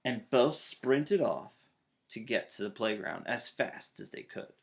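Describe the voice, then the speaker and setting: A man's voice: mid-range voice, animated, measured pace, creaky. A man in his thirties narrating a children's storybook aloud. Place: small child's bedroom, indoors.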